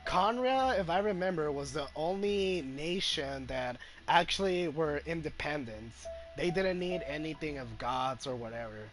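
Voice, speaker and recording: A man's voice: fairly high pitch, animated, unhurried, hardly any pauses, a bit bright, soft background music. A man giving casual gameplay commentary as a game streamer.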